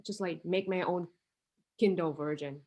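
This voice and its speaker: A woman's voice: fairly low pitch, steady tone, a little slow, a little mellow. Woman student, hesitant. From Vietnam. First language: Vietnamese. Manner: hesitant, thinking aloud, many "um" and "like" fillers. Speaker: international student with a startup idea. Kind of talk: casual conversation.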